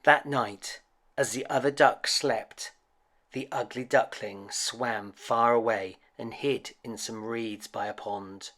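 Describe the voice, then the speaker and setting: A man reading a children's picture book aloud as a storyteller, with an expressive, deliberate delivery. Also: medium pitch. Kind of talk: scripted children's story narration.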